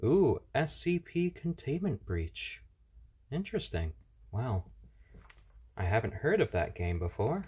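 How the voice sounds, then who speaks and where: A man hosting a casual live stream at home on his phone, speaking gently to viewers and to baby chicks. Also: mid-range voice, very animated, a little slow, pauses often, slightly rough. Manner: gentle, soft-spoken, talks to chat and chicks. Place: home room. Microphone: handheld phone.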